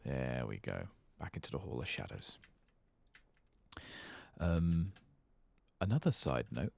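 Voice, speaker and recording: A man with a very low voice and a fair amount of fry, soft-spoken and unhurried as he rambles wryly through ASMR gaming commentary. He swears casually, and the sound is dry and close. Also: big pitch swings, dry recording. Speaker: host of a game playthrough channel. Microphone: close mic.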